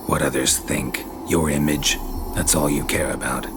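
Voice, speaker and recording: An actor playing a gruff, weary, sardonic monster hunter in a video game, with terse, clipped, deadpan delivery. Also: male voice, very deep voice, normal speed, noisy background. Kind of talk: dramatic video game dialogue. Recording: game music under the voice.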